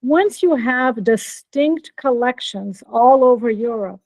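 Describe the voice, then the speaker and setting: A woman's voice: a little high, expressive, takes it slowly, a little mellow, no echo, poor audio. Chatty American woman giving a keynote. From born in Norway, raised in Brazil. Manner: friendly, chatty, checks on listeners. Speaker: keynote speaker, professor. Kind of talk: academic keynote talk. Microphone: computer mic on video call.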